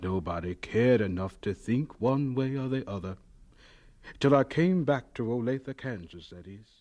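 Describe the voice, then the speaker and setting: Man playing a slow, reflective old professor. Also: mid-range voice, lively intonation, a little mellow, close to an everyday voice. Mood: wistful. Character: reflective, humble elderly professor recalling his life. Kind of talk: radio drama acting.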